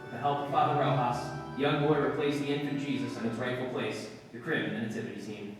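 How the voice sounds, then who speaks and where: A man as deadpan detective in echoey hall. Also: medium pitch, fast, close to an everyday voice. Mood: terse, deadpan. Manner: clipped, deadpan, understated. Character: terse, matter-of-fact male police detective narrating a case. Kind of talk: 1950s radio drama narration. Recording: echoey hall from far off, music behind. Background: music.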